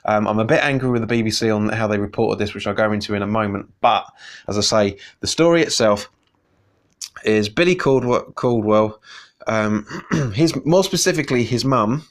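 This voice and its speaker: A man's voice: lowish voice, normal speed. A man hosting a casual news roundup vlog, sounding nasal from a cold, rambling, restarting sentences and getting heated in his opinions.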